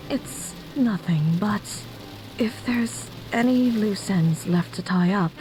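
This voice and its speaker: Calm sly formal game lady, really unhurried, understated, music in back. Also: medium pitch. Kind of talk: visual novel game acting.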